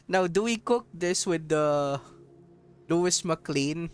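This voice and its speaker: A man's voice: fairly high pitch, clear, resonant tone. A man from Southeast Asia giving rambling, casual gameplay commentary, laughing often and swearing offhandedly. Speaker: mobile game streamer.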